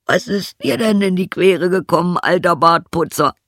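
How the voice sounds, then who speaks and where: An eager old donkey from a storybook, acted out by a narrator, pitched far up and loud. He urges his companions along with drawn-out, emphatic phrases. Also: dry recording, clean studio sound, close to an everyday voice. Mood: teasing, curious. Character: eager, encouraging old male donkey turned musician.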